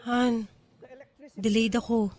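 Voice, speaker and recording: High-voiced, troubled young Filipina. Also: female voice, steady tone, slow, a little mellow. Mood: anxious. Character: troubled pregnant nineteen-year-old woman, defiant and wounded. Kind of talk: Tagalog radio drama acting.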